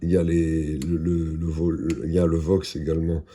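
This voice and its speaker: A man giving an unscripted product demonstration in a halting, matter-of-fact, explanatory way. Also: rumbling low, completely flat delivery, warm tone. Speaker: product presenter.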